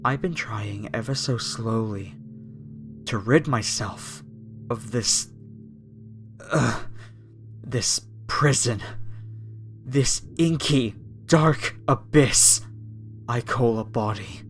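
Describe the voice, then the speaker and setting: A man performs a fanatical cultist captor in a horror audio roleplay. His mid-pitched voice moves very deliberately and slowly, with reverent, preacherly menace and long pauses. Faint music plays underneath. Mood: brooding menace. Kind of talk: horror ASMR roleplay monologue.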